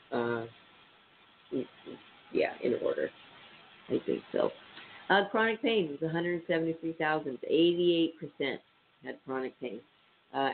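Man, stuffy nasal voice, slightly high, tinny phone-line sound, faint hiss, radio host reading numbers. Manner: chatty, many ums and uhs, reading out numbers. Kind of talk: casual talk radio, reading statistics.

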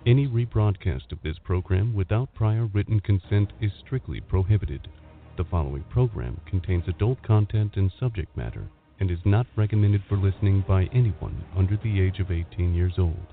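A man's voice: sits low, animated, normal speed. A man reading a scripted network disclaimer as announcer. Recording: narrow phone-like sound with faint noise.

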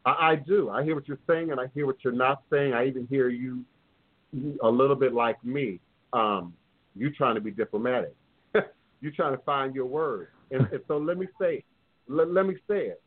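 A man's voice: mid-range voice, moderate pace. Emphatic New Orleans man on a phone line. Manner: emphatic, repeats phrases for stress. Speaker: radio show host and voodoo priest. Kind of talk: live call-in radio talk.

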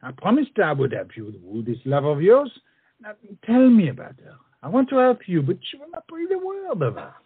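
An actor playing a witty French friend and mentor in a stage comedy, speaking in a flowery, coaxing, theatrical way. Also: male voice, high-pitched, huge pitch swings, fairly quick, husky, no echo, phone-quality sound, heavily acted. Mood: warm coaxing.

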